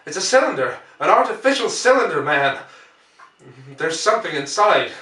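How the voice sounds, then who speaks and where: Male reader as excited Victorian gent, bright, exclamatory, alarmed, heard back from the microphone. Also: high voice, moderate pace, pitched above the performer's own voice, full character voice. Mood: urgent, excited. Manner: urgent, exclamatory, raised voice. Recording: roomy, distant microphone.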